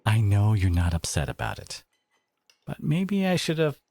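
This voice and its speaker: A man acting a devoted, protective boyfriend in an audio roleplay, speaking in an earnest, halting, conversational way with an edge of sarcasm. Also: lowish voice, big pitch swings, creaky, mellow, no room sound, full character voice. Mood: proud, tender. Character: devoted, protective boyfriend confronting his partner's family, adult man.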